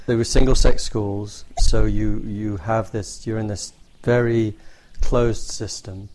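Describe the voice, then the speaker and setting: A middle-aged man answering interview questions in a measured, thoughtful way, often restarting his sentences. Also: lowish voice, fairly even intonation, a little mellow, slight background noise, rough recording. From born in Borneo, grew up in Indonesia. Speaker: film production designer.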